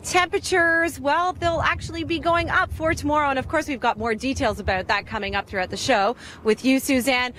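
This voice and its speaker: Upbeat lady doing live weather outside, high voice, bit of background hum. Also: a little fast, few pauses, a bit bright. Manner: upbeat, chatty, laughs often. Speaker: field weather reporter. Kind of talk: live outdoor weather hits. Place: outdoors at a garden show.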